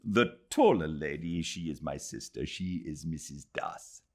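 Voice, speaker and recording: A man's voice: very deep voice, expressive, unhurried, husky, exaggerated voice. A man voicing a hospitable, emphatic husband in an audiobook. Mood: explaining. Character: genial, insistent Indian husband, hospitable gentleman.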